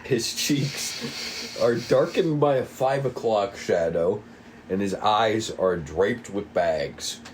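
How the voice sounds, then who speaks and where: A man's voice: on the low side, animated, slow pace, a little hiss or hum, low-quality recording. A man reading stage directions aloud as the narrator of a table read.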